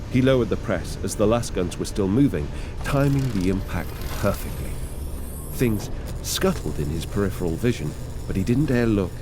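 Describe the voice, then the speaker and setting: A man performing scripted dramatic narration of a grim science fiction story in a measured, deliberate way. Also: on the low side, noisy background.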